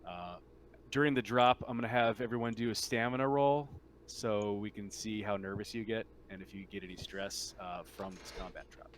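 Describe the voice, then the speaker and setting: A man narrating a tabletop roleplaying game as game master, in an informal, halting way. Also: on the low side, slight background noise.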